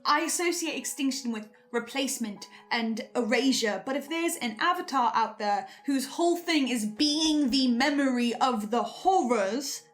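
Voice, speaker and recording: Female host, higher register, earnest theorist with deliberate pauses, faint music underneath. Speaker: host presenting theories on a horror podcast.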